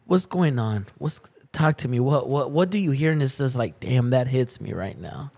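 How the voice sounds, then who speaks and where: A man's voice: mid-range voice, expressive, normal speed, creaky, darkish tone. A man co-hosting a podcast, talking in loose, repetitive bilingual banter. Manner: rambling, repeats words, mixes in Spanish. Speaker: podcast co-host.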